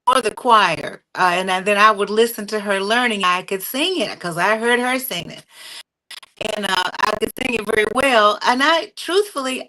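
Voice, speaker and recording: Bright-voiced woman telling stories. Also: mid-range voice, low-quality recording. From North Carolina. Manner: animated, warm storytelling with frequent fillers. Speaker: singer and interview guest. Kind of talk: conversational storytelling.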